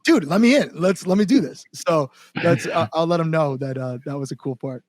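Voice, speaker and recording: Man, slightly high voice, enthusiastic, casual musician interview, conversational, dry close sound. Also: expressive, fairly quick, no echo. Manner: enthusiastic, frequent "like" and "you know". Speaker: guest musician, band singer.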